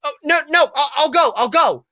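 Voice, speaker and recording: A man's voice: very high-pitched, lively intonation, moderate pace, sharp tone, no echo, clean studio sound, pitched above the performer's own voice, exaggerated voice. A man voicing a cocky young male fox who plays at being a masked superhero, melodramatic and bluffing, in a comic story reading. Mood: eager.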